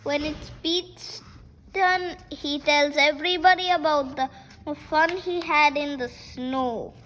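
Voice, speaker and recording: Child reader, age six, very high voice, halting storybook delivery, slightly noisy home recording. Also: steady tone, pushed and bright. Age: child, 6 years old. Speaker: host of a children's read-aloud channel. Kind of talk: reading picture books aloud.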